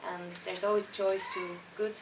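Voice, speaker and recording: A woman's voice: mid-range voice, level tone, normal speed, very noisy. A young woman with a Swiss German accent, a band singer chatting through interview answers with plenty of fillers and restarts. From Switzerland. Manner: chatty, many fillers and restarts, laughs easily. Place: concert venue before a show. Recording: roomy sound from a distant mic, faint ambience.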